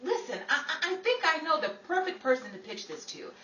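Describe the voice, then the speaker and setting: Woman acting wisecracking 1940s actress, bright high voice. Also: sing-song, brisk, exaggerated voice. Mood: lively, matter-of-fact. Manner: wisecracking, theatrical, dry comebacks. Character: witty, exasperated 1940s Hollywood actress, dog-sitting. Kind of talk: 1940s radio comedy acting. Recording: roomy, distant, noisy, crowd sound behind.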